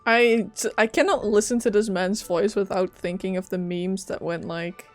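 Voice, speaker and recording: A woman streamer reacts casually to a video game with wry, offhand remarks. Her pitch is average, and faint game music plays behind her. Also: fairly even intonation, moderate pace, a little mellow. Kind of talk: casual gameplay commentary.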